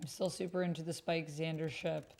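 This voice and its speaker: A woman's voice: sits low, fairly even intonation, a little fast, darkish tone. A woman reading chat messages aloud as she types, murmuring to herself in fragments. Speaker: solo roleplay player and video host. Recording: noisy, keyboard typing and clicks. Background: computer keyboard typing, clicks.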